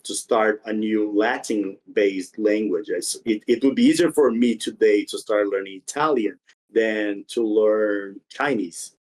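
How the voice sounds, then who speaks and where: A man's voice: on the low side, a little slow, darkish tone. A man whose first language is Portuguese, talking earnestly as a podcast guest, with some non-native phrasing. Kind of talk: casual interview talk.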